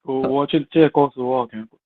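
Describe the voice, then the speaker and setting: A man's voice: mid-range voice, fast, narrow, tinny audio, a crowd in the background. A man with a Taiwanese accent explaining casually as a discussion participant, over thin call audio.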